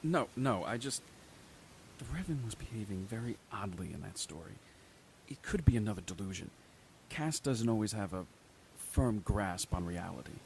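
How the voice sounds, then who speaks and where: A man's voice: on the low side, expressive, moderate pace, creaky, a little mellow, a little hiss or hum. A man playing a cautious, formal doctor protecting his patient.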